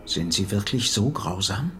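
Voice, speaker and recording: An actor playing a cultivated, anxious, stubborn middle-aged merchant in a radio drama, formal and impatient. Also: male voice, on the low side, lively intonation, measured pace, sharp tone, a little hiss or hum. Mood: uneasy, impatient.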